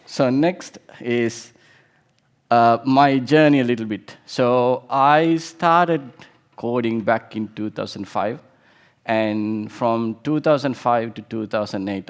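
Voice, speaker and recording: A man's voice: lowish voice, clean tone. A man in his thirties from Sri Lanka, giving an informal conference talk with frequent restarts and fillers. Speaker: conference speaker.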